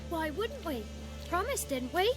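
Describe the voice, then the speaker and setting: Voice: very high-pitched, very animated, a little slow, heavily acted. A performer playing a cheeky, bold little village child in a video game, with pert, simple rustic phrasing. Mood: playful. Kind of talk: video game cutscene acting. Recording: music behind.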